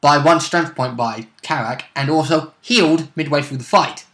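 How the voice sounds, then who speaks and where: The man across the gaming table who leans in with a bright, slightly high voice to explain the lore, tripping over his words. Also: normal speed. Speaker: co-host of a tabletop role-play vidcast. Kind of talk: casual explanatory game chat.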